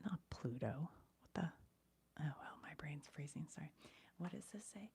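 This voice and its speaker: A woman whispering as an ASMR streamer, reading trivia aloud in a hushed, breathy voice and musing casually as she goes. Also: sits low, animated, moderate pace.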